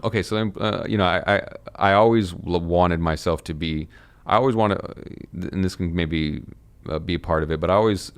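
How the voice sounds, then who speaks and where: A man in his thirties, a fitness coach talking as a podcast guest, halting with many fillers and restarts. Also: deep voice, clear, resonant tone. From United States. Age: 30s. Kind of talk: casual conversation.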